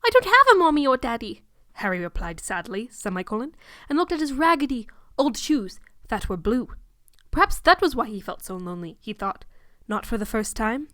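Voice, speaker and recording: A woman's voice: medium pitch, very animated, moderate pace, no room sound, clean studio sound. A woman narrating fan fiction in a mock-dramatic reading, pointedly voicing the punctuation. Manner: pointedly voices punctuation aloud.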